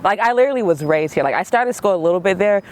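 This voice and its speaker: Young man, from Hawaii and Georgia, guest, casual slangy chat, easy laugher, high voice. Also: fast talker, a little hiss or hum. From born in Hawaii, raised in Georgia, US. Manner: relaxed and slangy, laughs easily.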